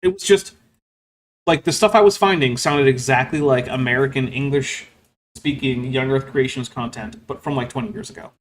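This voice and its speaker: A man's voice: medium pitch, normal speed. A man hosting a stream, reading super chats and commenting on a clip in a casual, wry way, filling his talk with "like" and "um". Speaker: host.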